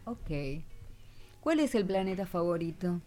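Animated woman radio host, Argentine accent, lowish voice, casual interviewing, short prompting questions. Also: a little slow, soft background music.

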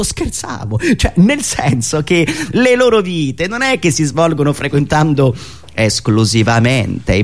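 A man performing a theatrical satirical monologue, ironic, with dramatic pauses and emphatic swings from hushed asides to raised delivery. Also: mid-range voice. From Italy. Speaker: writer and performer of a satirical radio monologue show.

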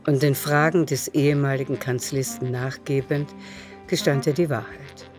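A woman's voice: a bass voice, fairly even intonation, normal speed, music in the background. A woman narrating a literary radio drama as a storyteller.

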